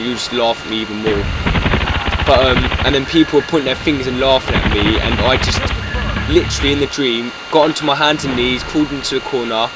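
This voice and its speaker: A British young man in his late teens giving hesitant, monotonous gameplay commentary, full of ums and restarts. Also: medium pitch, very noisy. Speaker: gaming YouTuber. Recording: loud game gunfire behind. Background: loud video game gunfire and explosions.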